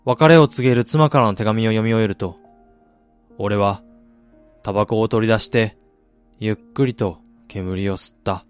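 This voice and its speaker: An actor playing a weary middle-aged failed businessman, narrating his regretful past in a measured, reflective way for a radio drama. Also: on the low side, clear, resonant tone, natural voice. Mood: somber, reflective. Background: music.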